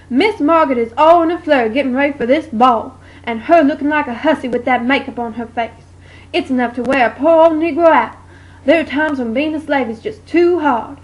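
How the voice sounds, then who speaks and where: A woman's voice: sits high, highs cut off, natural voice. A woman playing a weary enslaved Southern woman of the Civil War who longs for freedom, in an amateur puppet show monologue. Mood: weary, grumbling.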